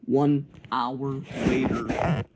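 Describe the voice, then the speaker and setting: Male, mid-pitched, amateur mock-broadcast announcer; halting, casual delivery with self-corrections. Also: fairly even intonation, very noisy. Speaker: narrator of a homemade emergency alert scenario.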